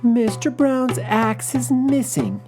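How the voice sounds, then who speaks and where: A man doing a shrill gossiping village woman. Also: very animated, slow pace, music in the background, heavily acted. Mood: gossipy.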